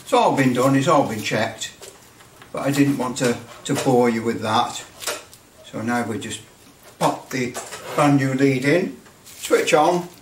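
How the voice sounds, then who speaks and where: A man giving a casual hands-on commentary as a hobbyist, halting and muttering a little to himself as he works. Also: medium pitch. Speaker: hobbyist presenter. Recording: roomy, distant mic with light noise.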